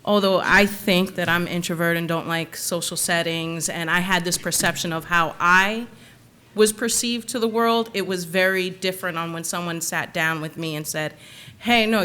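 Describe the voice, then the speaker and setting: Candid woman panelist, low voice. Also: fairly even intonation, normal speed, bright, forceful tone, a little hiss or hum. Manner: reflective, candid, laughs now and then. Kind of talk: panel discussion answer.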